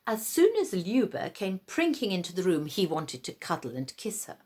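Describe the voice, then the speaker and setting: A woman's voice: on the low side, very animated, moderate pace, darkish tone, breathy edge. A woman reading a story aloud dramatically, with theatrical, suspenseful phrasing.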